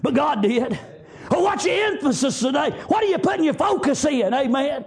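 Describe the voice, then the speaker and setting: A man with a Southern US accent preaching a fervent revivalist sermon, exhorting loudly and peppering it with praise interjections. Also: very high-pitched, very animated, normal speed.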